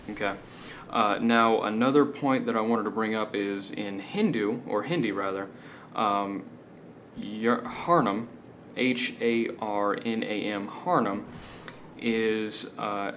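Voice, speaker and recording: Low-voiced man explaining casually over noise. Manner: hesitant, checking in with "right?" and "okay?". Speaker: video essay host. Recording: steady background noise.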